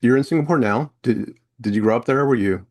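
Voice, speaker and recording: A man's voice: medium pitch, brisk, a little mellow. A man hosting a podcast interview, chatting casually as he sums up points and leads into his questions. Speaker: podcast host.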